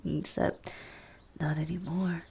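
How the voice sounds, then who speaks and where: An actress playing a weary, wry, wistful confidante in an intimate audio drama, murmuring haltingly. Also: female voice, low-pitched, level tone, vocal fry, mellow, breathy edge, heavily acted. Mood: tender, resigned.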